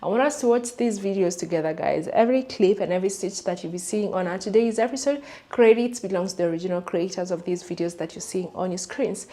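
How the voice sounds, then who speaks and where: A woman's voice: lowish voice, fast talker, hardly any pauses, dark tone. Chatty woman hosting a reaction channel. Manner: chatty, with frequent fillers like "uh" and "you know". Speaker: channel host. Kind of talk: casual reaction commentary.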